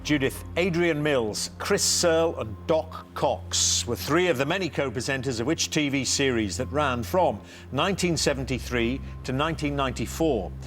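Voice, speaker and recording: A man's voice: mid-range voice, moderate pace, few pauses, fairly bright tone, soft background music. A man hosting a television quiz show, upbeat and teasing, reading questions and introducing teams.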